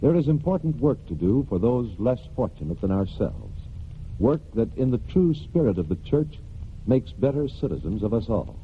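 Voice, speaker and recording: A man announces in scripted 1940s radio style. His voice is of middling pitch. The recording is thin and phone-like, with faint noise. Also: sing-song, moderate pace.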